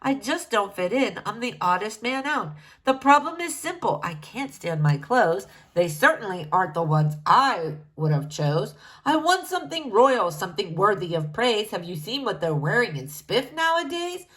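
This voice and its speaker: A woman's voice: medium pitch, sing-song, moderate pace, fairly bright tone. A woman voicing an unhappy, fussy king who complains poutily in a children's storybook. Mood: pouting, griping. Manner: complaining, pouty. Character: unhappy, fussy young king who feels he doesn't belong.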